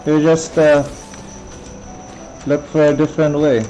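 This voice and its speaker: Game streamer, androgynous medium-pitched voice, unhurried, casual commentary, game music behind. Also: little pitch movement, dark tone, low-quality recording. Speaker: live streamer playing a game.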